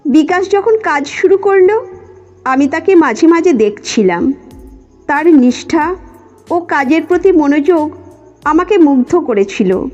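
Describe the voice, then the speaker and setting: A woman's voice: high voice, animated, measured pace, pauses often, a little mellow. A woman reading a scripted first-person story as its narrator. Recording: muffled lo-fi audio with music. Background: background music.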